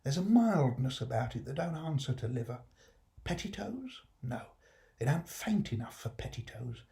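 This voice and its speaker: A man's voice: mid-range voice, big pitch swings, normal speed, gravelly, mellow. A man voicing a humble, kindly old working-class father, fond and easily enraptured, musing in homely dialect in a storybook reading. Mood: pondering.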